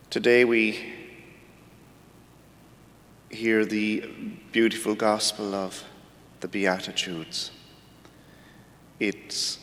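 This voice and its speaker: A man with an Irish accent gives a homily at a weekday Mass. He speaks slowly in a low, even voice, measured and reflective. His words ring out with a long church reverb over a faint background rumble. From Ireland. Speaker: priest giving a homily.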